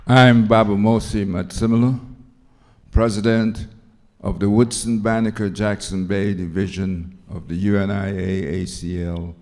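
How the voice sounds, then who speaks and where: A man introducing himself at a roundtable as a community organization leader, speaking in an earnest, deliberate way. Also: lowish voice, little pitch movement, darkish tone.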